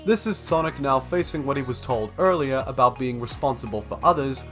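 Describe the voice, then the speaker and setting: A man's voice: mid-range voice, a little fast, fairly bright tone, faint music behind. A young man reading a scripted video essay as an analytical narrator.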